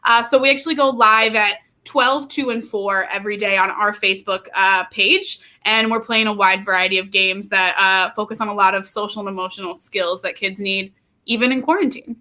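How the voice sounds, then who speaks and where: A woman's voice: mid-range voice, steady tone, moderate pace, pushed and bright. An upbeat, enthusiastic American woman in her thirties explaining her work as an interview guest. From Pennsylvania, United States. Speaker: guest, youth play nonprofit training manager.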